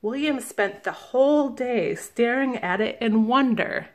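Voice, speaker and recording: A woman, a children's librarian, reading a picture book aloud slowly and gently, stopping to ask the listeners questions. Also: on the high side. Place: indoors at a table, camera above the book.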